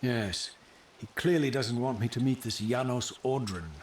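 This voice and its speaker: An actor playing a tormented, proud undead wraith in a video game, bitter and sardonic, with theatrical delivery. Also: male voice, mid-range voice, normal speed, roomy sound, far from the mic, a little hiss or hum, close to an everyday voice. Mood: cold hostility. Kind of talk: dramatic video game cutscene acting.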